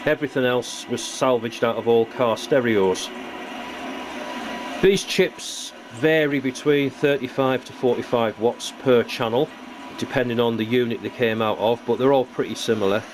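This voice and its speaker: A man's voice: mid-range voice, normal speed. A British man casually narrating a hands-on hobby demonstration as he presents his model railway build. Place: indoor hobby workbench. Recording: noisy room recording with hum.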